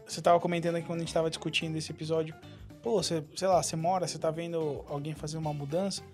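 A man from Brazil co-hosting a casual podcast, talking in a relaxed, agreeable way. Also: on the high side, rapid-fire, faint music behind. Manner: relaxed, agreeable, leans on "cara" and "né". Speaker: podcast co-host. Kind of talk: casual chat.

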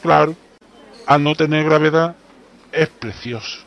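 A man's voice: fairly high pitch, unhurried, lo-fi sound. A man playing a boastful, absent-minded travelling salesman with an accent from Spain, exclamatory and know-it-all, in a comic radio play. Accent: Spanish (Spain).

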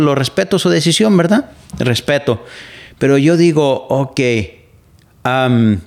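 Man, Mexican accent, podcast host, casual monologue, slightly high voice, many fillers. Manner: rambling, frequent fillers and restarts. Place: living in the United States.

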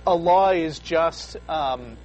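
A man's voice: fairly high pitch, a little mellow, slight background noise, rough recording. A young man co-hosting a call-in show, explaining ideas casually with lots of fillers and restarts. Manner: halting, many fillers and restarts. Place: studio.

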